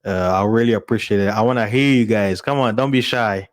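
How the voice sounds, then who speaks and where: Low-voiced man. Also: fairly quick. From Congo. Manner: informal, chuckles often. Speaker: live streamer and podcast host. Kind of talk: casual chat to listeners.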